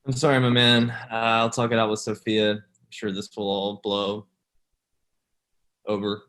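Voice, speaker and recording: A laid-back young guy, played by a man, with an even, unvarying tone. He talks casually, smirking, in a comedy table read on a video call. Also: on the low side, unhurried. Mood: apologetic, casual. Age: young adult.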